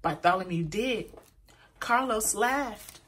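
A woman reading a children's picture book aloud in an expressive, deliberate way, as a storyteller for young listeners. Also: mid-range voice, a little hiss or hum. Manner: pauses to point out words to young listeners; animated, deliberate delivery for children.

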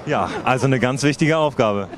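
A man's voice: medium pitch, normal speed, noisy background. A man in his thirties doing casual vox-pop interviews as host, on a handheld mic. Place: large exhibition hall at a gaming festival. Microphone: handheld reporter microphone. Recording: music laid over the voice.

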